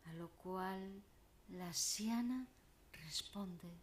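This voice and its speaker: Slow, theatrical woman reciting poetry. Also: medium pitch, slight background noise. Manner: solemn, theatrical, deliberate phrasing with pauses. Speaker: poet reciting own poem. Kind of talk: dramatic poetry declamation.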